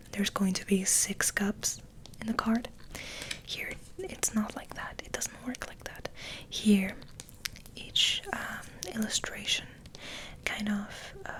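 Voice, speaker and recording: A woman hosting ASMR, rambling in a soft, breathy, hesitant whisper. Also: medium pitch. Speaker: ASMR video host.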